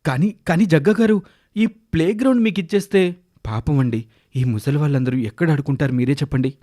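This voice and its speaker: A man voicing a kind, doting owner of an old-age home, coaxing and affectionate, in a children's animated story. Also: a little high, a little fast, natural voice. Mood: pleading.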